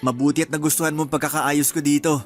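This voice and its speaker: A man's voice: on the high side, flat delivery, fast talker, soft background music, lightly acted. A man playing a hardworking, loving young husband in a Filipino radio drama, affectionate and easygoing. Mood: pleased, easygoing.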